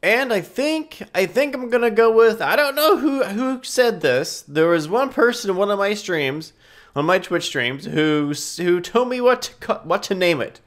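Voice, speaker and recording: A young man with a stuffy, nasal voice talks through his gameplay in a casual ramble. His voice sits high and swings widely up and down. He keeps restarting his sentences and chuckles at himself along the way. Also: lively intonation, normal speed, fairly bright tone. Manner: rambling, self-correcting, giggly. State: congested, nasal from a stuffy nose. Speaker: gaming YouTuber.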